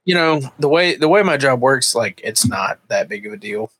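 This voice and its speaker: Husky hesitant man in his thirties. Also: medium pitch, animated, a bit bright. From Georgia. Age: 30s. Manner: hesitant, halting. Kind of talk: casual chat.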